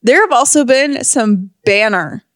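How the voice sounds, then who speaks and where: A woman's voice: fairly high pitch, lively intonation, unhurried, a bit bright, no echo, clean studio sound. A woman co-hosting a podcast in a casual, chatty style. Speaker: podcast co-host.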